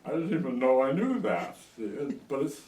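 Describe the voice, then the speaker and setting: A man in his eighties with an American accent, reminiscing in a halting, rambling way in a casual interview. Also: medium pitch, big pitch swings, mellow, slight background noise. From United States. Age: 80s. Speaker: oral history interviewee. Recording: echoey room, distant microphone.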